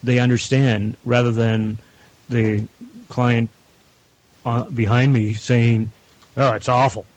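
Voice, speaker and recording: A man speaking as a guest producer, explaining his work in measured, deliberate phrases. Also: medium pitch, level tone, darkish tone, a little hiss or hum, poor audio. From Canada. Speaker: audio producer and director, guest. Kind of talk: explanatory interview talk.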